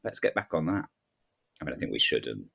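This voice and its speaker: A man speaking as a guest on a comedy podcast, in casual, deadpan banter. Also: rumbling low, fairly quick, creaky, breathy edge.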